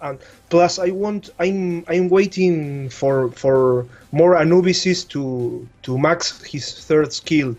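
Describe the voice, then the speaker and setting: A man's voice: fairly high pitch, slow, hardly any pauses, a little mellow, highs cut off. A man from Argentina with an Argentine Spanish accent, a native Spanish speaker, chatting hesitantly in English as a guest giving game advice. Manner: hesitant, repeats words while searching in English. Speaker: guest content creator.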